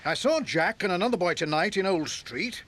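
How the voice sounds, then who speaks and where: A man's voice: high-pitched, lively intonation, moderate pace, a bit bright. An actor playing an earnest, inquiring policeman in a children's audio drama. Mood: polite, inquiring. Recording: hissy old cassette recording.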